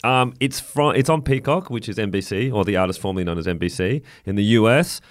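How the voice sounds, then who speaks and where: A man's voice: lowish voice, fast talker, dry recording. A man co-hosting a casual podcast chat, dry and wry, with frequent ums. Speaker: podcast co-host.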